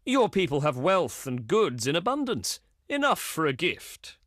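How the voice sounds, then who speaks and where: Haughty Roman envoy, dry and animated. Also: male voice, a little high, measured pace, dry recording. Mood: smooth, condescending. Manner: pompous, formal, oratorical, condescending. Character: haughty Roman diplomat, male envoy of the Senate. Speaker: game diplomacy envoy. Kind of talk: theatrical scripted game dialogue.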